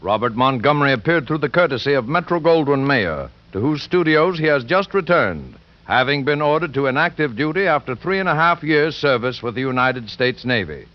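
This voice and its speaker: A man's voice: mid-range voice, expressive, fairly quick, few pauses. A man announcing a 1940s radio show in a formal, dramatic scripted style. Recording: dull 1940s radio broadcast, faint music.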